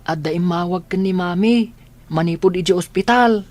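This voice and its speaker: A performer playing a worried, cautious young boy in an Ilocano radio drama, reasoning gently, with an Ilocano accent. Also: medium pitch, normal speed, faint music behind, natural voice. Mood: cautious concern.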